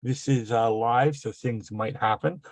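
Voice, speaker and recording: A man with a cold and a gravelly voice hosting a live online workshop over a video call, halting and full of fillers and restarts. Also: mid-range voice, no room sound. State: has a cold. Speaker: webinar host.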